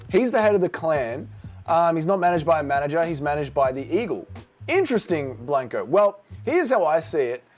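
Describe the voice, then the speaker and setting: A brash middle-aged man chats on a live stream, sarcastic and quick to swear as he reads out viewer comments. His voice sits a little high for a man, with faint music playing behind him. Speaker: streamer reacting to chat. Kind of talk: casual livestream chat.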